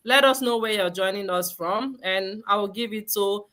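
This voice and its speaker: A woman's voice: mid-range voice, moderate pace, pushed and bright. A woman from Nigeria hosting a live online webinar, upbeat and exhortative, asking many questions of the audience. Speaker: host. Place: lives in Sweden.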